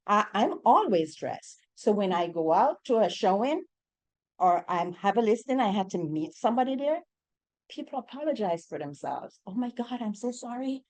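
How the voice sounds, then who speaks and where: A woman's voice: mid-range voice, sing-song, normal speed, a little mellow. A middle-aged woman from Jamaica with a Jamaican accent, speaking on a real estate panel in an emphatic way, putting rhetorical questions to the audience. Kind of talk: panel discussion.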